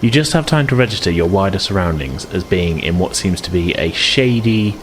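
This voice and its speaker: A man's voice: deep voice, expressive, a little fast, slight background noise. A man hosting a tabletop game podcast and narrating in a conversational, slightly hesitant way. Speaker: podcast host and game master.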